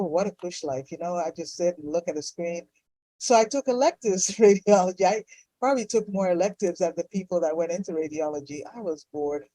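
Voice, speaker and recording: Female interview guest, middle-aged doctor; lowish, warm tone; effusive casual storyteller. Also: no room sound, low-quality recording. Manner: enthusiastic, effusive.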